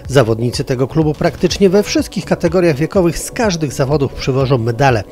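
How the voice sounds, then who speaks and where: A man's voice: a little high, lively intonation, a little fast, darkish tone. A man reading scripted narration as a reporter for a news piece. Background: background music.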